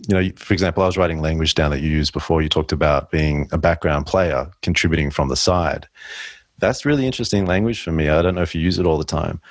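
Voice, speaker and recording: A man with a deep voice hosting a podcast interview, talking thoughtfully and rambling with frequent restarts. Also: dry recording. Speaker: podcast host. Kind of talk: casual interview conversation.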